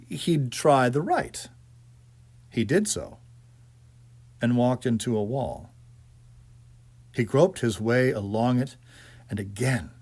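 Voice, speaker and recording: A man's voice: medium pitch, a little mellow, slightly rough. A man narrating a suspense story in a dramatic, theatrical manner with hesitant phrasing. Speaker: audiobook narrator.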